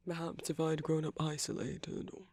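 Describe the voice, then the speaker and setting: A man playing a gentle, wry villain who uses magic, speaking softly and haltingly in an intimate ASMR roleplay with a breathy, husky voice. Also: a little high, monotone, no echo. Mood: wistful, confiding. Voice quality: breathy, husky, slightly creaky. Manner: measured, halting, hushed delivery with pauses. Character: gentle, wry villain magic user comforting a loved one.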